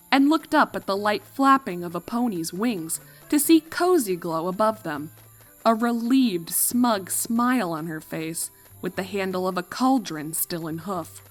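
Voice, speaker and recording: A woman reading a fan fiction story as a scripted narration. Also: medium pitch.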